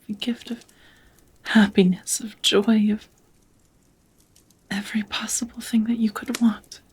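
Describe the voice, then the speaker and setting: A woman voicing a devoted, nervous vampire roommate in an intimate ASMR roleplay, whispering softly in a halting, earnest, tender way. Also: medium pitch. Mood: adoring, earnest. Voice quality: soft, breathy whisper.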